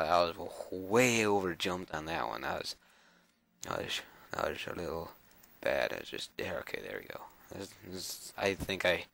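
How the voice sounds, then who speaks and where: Hoarse guy with a cold, deep gravelly voice, slow mumbly game commentary. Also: fairly bright tone, dry recording. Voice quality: raspy, scratchy. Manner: mumbling, self-talk with frequent fillers and repeats. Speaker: gameplay video creator. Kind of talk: casual gameplay commentary.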